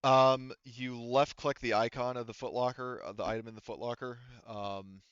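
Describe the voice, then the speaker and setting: A man's voice: medium pitch, fairly even intonation, moderate pace, a bit bright, smooth, no room sound, muffled recording. A man speaking casually as a game master in a tabletop roleplaying game, thinking aloud and swearing now and then.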